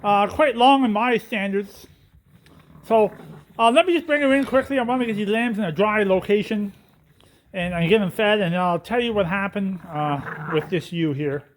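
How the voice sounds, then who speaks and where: A man's voice: sits high, normal speed, rough recording. A middle-aged man, a sheep farmer, chatting casually and cracking folksy jokes while he works. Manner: joking, tells folksy one-liners. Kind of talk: casual chat and farm narration. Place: farm barn and fields. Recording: faint farm background noise.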